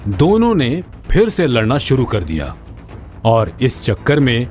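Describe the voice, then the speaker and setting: A male narrator reads a children's tale expressively. His mid-range voice moves with plenty of melody at a slow, deliberate pace. Music plays under the narration. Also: mid-range voice, wildly swooping pitch, darkish tone. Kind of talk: scripted children's story narration.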